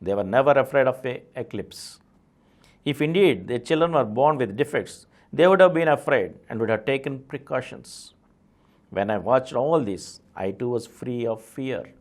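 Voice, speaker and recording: A man's voice: mid-range voice, expressive, moderate pace. A man with an Indian accent reading a memoir aloud as a presenter of an audio series. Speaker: audiobook reader, channel presenter.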